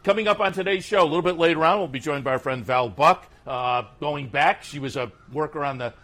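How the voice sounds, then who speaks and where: A man hosting a horse racing talk show from the track, introducing guests in a relaxed, chatty way with frequent "uh"s. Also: a little high, brisk. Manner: easygoing, filler-heavy intros. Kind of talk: casual radio-style interview. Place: racetrack backstretch, outdoors.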